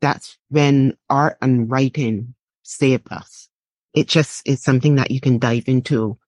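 A middle-aged woman from Barbados with a Barbadian accent, reflecting on personal experience as an interview guest, often halting and repeating phrases. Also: rumbling low, steady tone, darkish tone, no echo. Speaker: interview guest, artist and writer.

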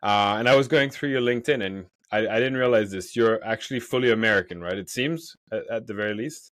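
Low-voiced podcast host, dry sound. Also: male voice, fast, fairly bright tone, no room sound. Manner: friendly, chatty, asks leading questions. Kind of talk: casual conversational interview.

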